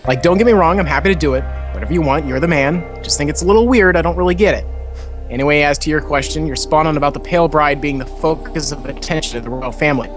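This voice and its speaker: A man voicing a gossipy Valley Girl-style letter writer in a visual novel, chatty and informal, with drawn-out words. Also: on the high side, close to an everyday voice. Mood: chatty, dismissive. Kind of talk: acted reading of visual novel dialogue. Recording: music playing clearly behind.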